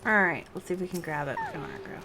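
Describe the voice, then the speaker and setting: A woman's voice: on the low side, wildly swooping pitch, fast, heavy background noise. A woman hosting a casual game livestream, chatting playfully and making light fun of herself. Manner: chatty, giggly, playful, self-deprecating. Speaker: game streamer and host. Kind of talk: casual livestream gameplay chat.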